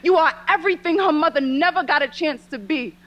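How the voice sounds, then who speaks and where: A young woman delivering spoken word poetry in an impassioned, deliberate, dramatic way. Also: high voice, a bit bright.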